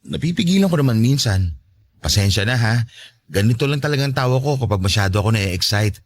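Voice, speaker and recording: A man playing a gentle, effeminate, apologetic boyfriend in a radio drama, mild and conciliatory. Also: mid-range voice, expressive, a little fast, slight background noise, natural voice. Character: gentle, soft-mannered, apologetic boyfriend, effeminate, eager to please. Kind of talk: Tagalog radio drama acting.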